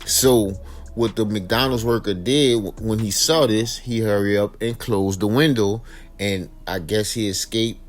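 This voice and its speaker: A man's voice: on the low side, fairly even intonation, a little slow. An American man hosting informal true crime commentary, speaking colloquially and straight to his viewers, repeating phrases for emphasis. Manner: colloquial, direct address to viewers, emphatic repetition. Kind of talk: informal crime storytelling with personal opinion. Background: background music.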